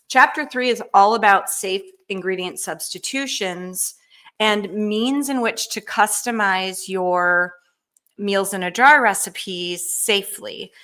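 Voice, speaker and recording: A woman hosting a live canning Q&A, chatty and enthusiastic, with frequent ums and a slightly hoarse voice. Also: mid-range voice, pushed and bright. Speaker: canning cookbook author hosting a live show. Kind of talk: casual live Q&A cooking demo.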